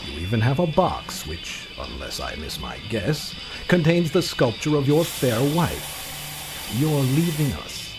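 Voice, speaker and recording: An actor playing a sinister, silky magician's mechanic in an audio drama, speaking in a formal, ominous, insinuating manner. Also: male voice, medium pitch, sing-song, measured pace, music in the background.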